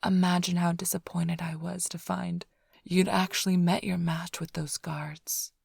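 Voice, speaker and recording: Woman acting as seductive vampire thief, low-pitched, creaky, breathy whispering, smug teasing, close ASMR roleplay. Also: little pitch movement, a little slow, no room sound, full character voice. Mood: sly, teasing. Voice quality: breathy, husky, often whispered. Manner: teasing, purring, theatrically sly. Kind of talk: ASMR roleplay, intimate close address to listener.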